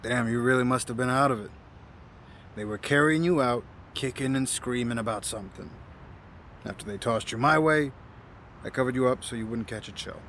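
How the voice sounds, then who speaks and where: A man's voice: medium pitch, moderate pace, fairly bright tone, slight background noise, close to an everyday voice. An actor playing an easygoing, kindly homeless man in an audio drama, with a folksy, teasing manner. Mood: amused, warm.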